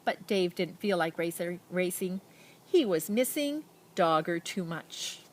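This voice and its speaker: A woman reads a children's picture book aloud expressively, with dramatic emphasis and pauses and casual asides to her listeners. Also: on the low side, expressive. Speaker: storybook reader.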